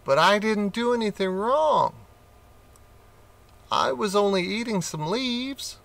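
A man's voice: high voice, expressive, slow pace, gravelly, a bit bright, voice pushed up, exaggerated voice. A man voicing a small, timid baby rabbit in a raised, plaintive voice.

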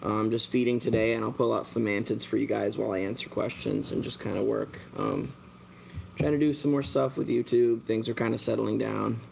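Brief: relaxed thirties guy, gravelly low-key voice, rambling livestream chat with a faint hum of room noise. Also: fairly quick, poor audio. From born in Germany, raised American. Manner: rambling, relaxed, talks while working, many fillers. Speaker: mantis breeder and shop owner. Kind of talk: casual live stream Q&A. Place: dim indoor shop at night.

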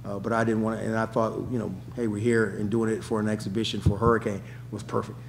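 A middle-aged man answering questions as a head coach at a press conference, with quick, emphatic answers and lots of restarts, speaking into a table mic in a press room. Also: lowish voice, flat delivery, vocal fry, slight background noise. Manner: rapid, repetitive restarts, emphatic.

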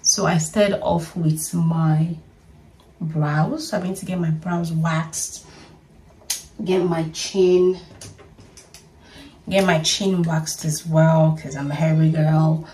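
A woman's voice: low voice, level tone, slow pace, pauses often, warm tone, recorded from a distance. A woman, chatting playfully and laughing often as she gets ready at home in her bedroom. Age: 30s. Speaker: vlogger. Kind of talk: casual get-ready-with-me vlog.

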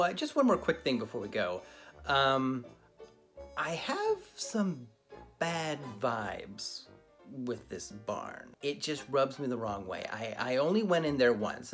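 A man in his thirties hosting a casual vlog tour, talking in a halting, playfully dramatic way into a handheld phone. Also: medium pitch, fairly bright tone. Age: 30s. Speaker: vlog host. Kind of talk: casual first-person video tour. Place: at home indoors, then outdoors and inside an old barn. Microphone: handheld phone at arm's length.

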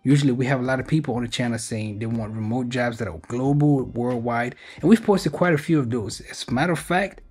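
Husky-voiced man explaining job openings. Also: medium pitch, fairly quick, few pauses, a little mellow. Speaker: video host sharing job openings. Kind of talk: informal explainer commentary reading from a web page.

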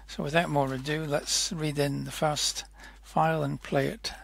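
Husky, creaky man giving a technical demo. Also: fairly high pitch, flat delivery, a bit bright, no room sound. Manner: hesitant, halting explanation with self-corrections. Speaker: software demonstrator. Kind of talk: informal technical tutorial narration.

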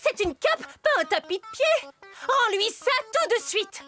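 Cartoon peasant mother: very high, scolding, sarcastic, indignant. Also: big pitch swings, measured pace, a bit bright, faint music behind, heavily acted. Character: indignant, poor village mother. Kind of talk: children's cartoon voice acting.

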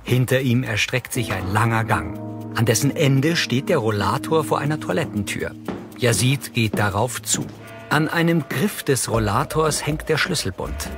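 Male narrator, mid pitch, scripted audio description, present tense, music behind. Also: lively intonation, normal speed. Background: soundtrack music.

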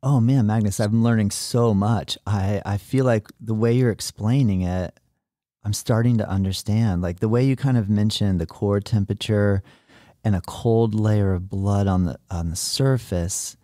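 An American podcast host with a low, mellow voice chats eagerly with his guest, praising him over and over. The sound is dry and close, like a voice right at the mic. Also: normal speed, no echo. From United States. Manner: enthusiastic, effusive praise. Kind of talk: casual interview chat.

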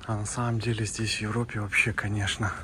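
A man's voice: fairly low pitch, level tone, fast talker. Chatty man vlogging outdoors, street noise. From Kazakhstan. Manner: chatty, addresses viewers as friends, fillers. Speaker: travel vlogger. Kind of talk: casual travel vlog narration. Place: outdoors in narrow town streets and in a car.

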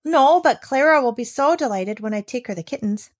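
A woman reading aloud voices an eager young girl who speaks brightly and pleadingly. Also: sits high, lively intonation, brisk, pitched above the performer's own voice.

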